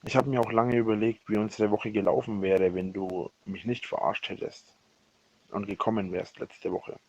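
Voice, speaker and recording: A man speaking a rambling personal voicemail, halting and often correcting himself, with a husky voice. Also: on the low side, little pitch movement, a little mellow. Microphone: voice message recorded on a phone. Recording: dull lo-fi leaked voicemail recording.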